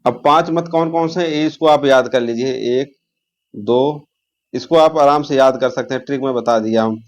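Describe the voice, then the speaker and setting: A man's voice: medium pitch, moderate pace. A man teaching an informal exam lesson, repeating key terms and posing questions as he explains.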